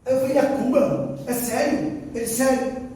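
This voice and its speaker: A man's voice: very high voice, normal speed, mellow. A male missionary from Brazil preaching a sermon testimony, telling a story and quoting dialogue with repeated phrases for emphasis. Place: church hall. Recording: echoey hall from far off, faint ambience.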